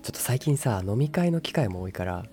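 A man's voice: medium pitch, lively intonation, fairly quick, mellow, soft background music. A man co-hosting a casual podcast, chatting in a laid-back, lightly teasing manner. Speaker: podcast co-host. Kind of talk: casual chat.

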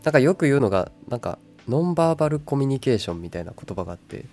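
A young woman with an extremely low, boyish voice co-hosts a casual radio chat. She sounds calm and wry, with lively intonation, over music. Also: racing. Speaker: radio co-host.

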